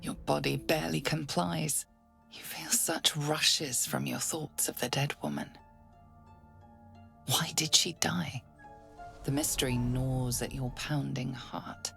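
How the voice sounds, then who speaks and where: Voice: mid-range voice, fairly even intonation, slow pace, a bit bright, soft background music. A narrator reading second-person game narration in a menacing tone. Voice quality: gravelly, menacing.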